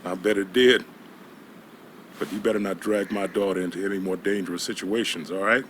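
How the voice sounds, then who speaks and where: Older protective dad, folksy and gruff, lowish forceful voice, slight background ambience, game drama. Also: male voice, fairly even intonation, brisk, a little hiss or hum. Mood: grateful, stern. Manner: folksy, plain-spoken. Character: gruff, protective small-town father, older man. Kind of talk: video game dialogue acting.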